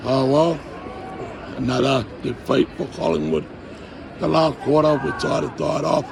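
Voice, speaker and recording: A hoarse man with a worn-out voice, vlogging excitedly from a football stadium and shouting along with the game. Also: medium pitch, unhurried. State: hoarse, voice shot. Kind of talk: casual vlog chat at a match. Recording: loud stadium crowd and noise behind.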